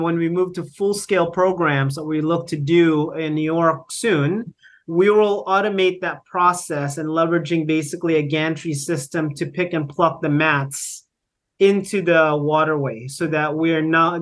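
A middle-aged man from the Philippines, explaining his work in an interview with many fillers and restarts. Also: fairly high pitch. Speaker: guest, company founder. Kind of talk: conversational interview.

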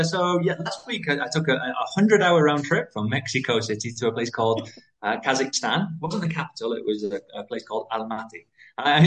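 A British-raised man, likely in his thirties, a school founder talking quickly as a podcast guest, with lively pitch and frequent restarts. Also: rough recording. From United Kingdom. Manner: fast, animated, many restarts. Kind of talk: interview conversation.

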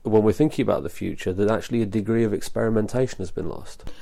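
A man's voice: fairly low pitch, fast talker, creaky, dark tone, no echo. A young man with a British accent, asking wordy, thoughtful questions as a podcast interviewer. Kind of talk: conversational interview.

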